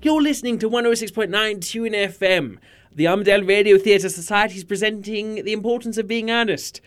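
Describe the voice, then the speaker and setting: Male radio announcer, notably high pitch, very clean smooth tone, scripted introduction. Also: a little fast, soft background music.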